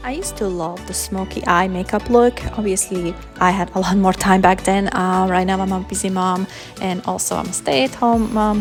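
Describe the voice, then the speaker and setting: A woman in her thirties from Slovakia, chatting casually while doing her makeup in a vlog. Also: mid-range voice. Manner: relaxed, chatty, talks while applying makeup. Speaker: vlogger, stay-at-home mom. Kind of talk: casual makeup tutorial chat.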